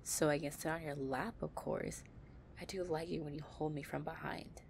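A woman playing a possessive young gang-leader girlfriend, tough but tender, in an intimate, soft-spoken audio roleplay. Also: low-pitched, steady tone, moderate pace, vocal fry, slight background noise. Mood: affectionate, drowsy.

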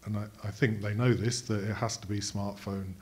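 A man's voice: fairly low pitch, little pitch movement, normal speed. A middle-aged man, commenting from the audience at a conference. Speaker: audience questioner. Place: conference auditorium seating, indoors. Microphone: throwable box microphone.

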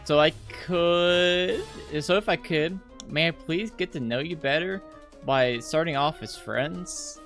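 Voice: mid-range voice, slow, fairly bright tone, faint music behind. A visual novel player voicing a cheerful, adoring schoolgirl in an eager, gushing manner.

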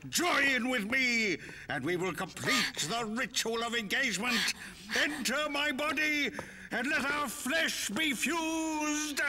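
An unhinged old villain from a horror game, grand and ominous. His voice sits high for a man and moves slowly, ringing through a long reverb. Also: animated, exaggerated voice. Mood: fervent, crazed. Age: older. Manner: grand, ominous, drawn-out delivery. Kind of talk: theatrical video game cutscene acting. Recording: long reverb under loud music.

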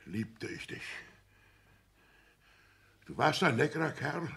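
An actor playing a blustering, grumbling middle-aged father, a self-righteous petty official, husky, in a 1960s German radio comedy. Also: medium pitch, sing-song, slow, a bit bright, heavily acted. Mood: indignant, emphatic. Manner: blustering, indignant.

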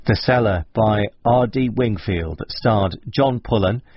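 Slow, low-voiced male radio announcer. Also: breathy edge, no echo. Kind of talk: scripted credit announcement. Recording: dull radio recording.

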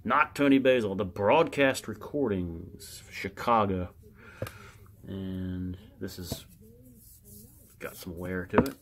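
A man giving casual, unscripted show-and-tell commentary as a collector, halting and muttering to himself as he reads aloud. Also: sits low, crowd noise. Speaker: record collector showing his records.

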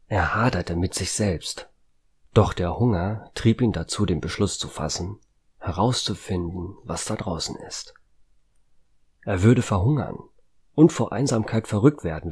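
A man's voice: sits low, a little mellow, husky. A man reading dramatic scripted narration of a horror audio story. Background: background music.

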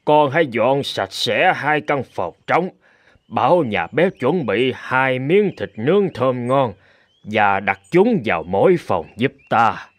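An actor playing a calm, wise old abbot in a cartoon folk tale, speaking in a measured, teacherly manner. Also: male voice, medium pitch, no room sound. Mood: thoughtful. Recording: music under the voice.

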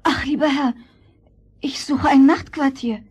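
An actress playing a gentle, meek orphan girl in a fairy-tale audio play, sweet and earnest. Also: high-pitched, steady tone, a little slow. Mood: timid, polite. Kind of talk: German fairy-tale audio drama acting.

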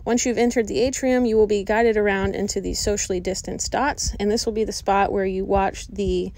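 A woman's voice: medium pitch, steady tone, brisk, a little mellow, low-quality recording. A woman in her thirties, a vlog host, narrating her trip in an upbeat, explanatory way.

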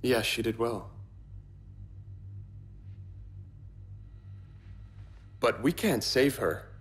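A man's voice: medium pitch, animated, normal speed, close to an everyday voice. Man playing a composed, calculating young half-demon. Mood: cool, detached. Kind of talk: video game cutscene acting.

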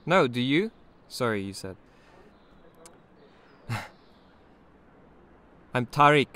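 A shy young man on his balcony, hesitant and a little awkward with a stranger. His speech comes slowly, with stops and restarts, in a home-recorded audio play. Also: medium pitch, sing-song, a bit bright, exaggerated voice. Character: young man Tariq, shy lonely lodger. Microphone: home recording booth.

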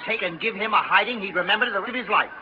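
A man playing an indignant, rapid storekeeper. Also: sits high, noisy background, natural voice. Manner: indignant, self-righteous. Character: stern self-righteous middle-aged male storekeeper. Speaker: actor in radio drama. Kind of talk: 1930s radio acting. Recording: muffled 1930s radio recording.

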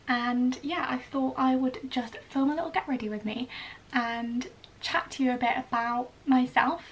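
A woman's voice: sits high, fairly even intonation, normal speed, muffled recording. A young woman from Wales chatting casually to camera in a get-ready-with-me vlog, recorded in a bedroom. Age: young adult. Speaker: vlogger, ballet dancer in training. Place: small bedroom indoors. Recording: slightly distant mic, clearly noisy room.

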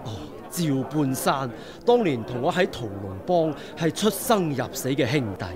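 A man's voice: fairly high pitch, sing-song, measured pace, a crowd in the background. A man with a Hong Kong Cantonese accent playing an elderly martial arts master in a radio drama, humble and formal in his speech. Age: older. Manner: humble, polite, speaks in old formal phrases. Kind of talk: 1990s radio drama acting.

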